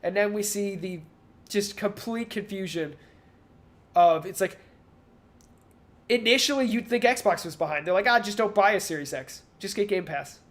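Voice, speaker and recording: A man's voice: high-pitched, moderate pace, long pauses, a bit bright. Rambling man talking games casually. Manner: rambling analysis, acts out companies' positions in mock quotes. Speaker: podcast co-host. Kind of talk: casual video game industry discussion.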